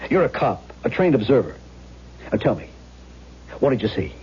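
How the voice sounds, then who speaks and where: A muffled vintage radio drama recording of a skeptical police lieutenant. His voice is husky and medium in pitch, and he presses his questions in a businesslike, insistent way. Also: big pitch swings, normal speed. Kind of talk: 1970s radio drama acting.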